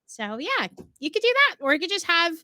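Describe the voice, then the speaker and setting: Bright upbeat woman giving a tutorial. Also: extremely high pitch, sing-song, a little fast, dry recording, clean studio sound. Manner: upbeat, affirming, walks viewers through steps. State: slightly losing her voice. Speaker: co-host, platform guide. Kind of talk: live stream tutorial.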